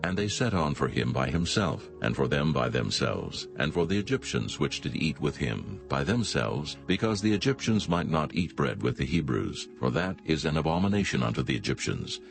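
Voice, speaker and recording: A man's voice: extremely low pitch, sing-song, moderate pace, gravelly, hardly any pauses. A man giving solemn scripted narration of scripture. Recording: music behind the voice.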